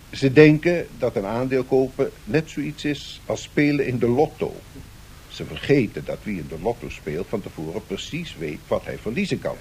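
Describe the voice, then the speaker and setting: An actor playing a learned economics professor, speaking formally and deliberately, explaining and cautioning in a radio play. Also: male voice, darkish tone. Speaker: professor answering questions. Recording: 1970s radio recording with faint rumbling noise.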